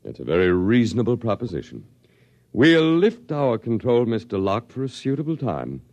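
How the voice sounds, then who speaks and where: An actor playing a calm, coolly reasonable elderly alien archivist in a 1950s radio drama. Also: male voice, medium pitch, moderate pace, dry recording, lo-fi sound.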